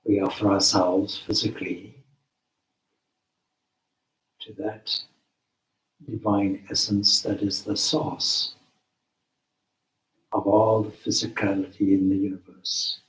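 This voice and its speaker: Male meditation guide: lowish pitch, very unhurried, deliberate delivery with long pauses, recorded in an echoing room. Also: level tone. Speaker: meditation teacher, swami. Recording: roomy, echoing space.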